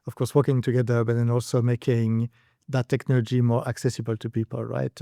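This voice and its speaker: A man from Belgium speaks with a mellow, darker tone, laying out his background in a calm, measured way. The recording is close and dry. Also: mid-range voice, no room sound. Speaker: co-founder, podcast guest. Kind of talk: casual conversational podcast chat.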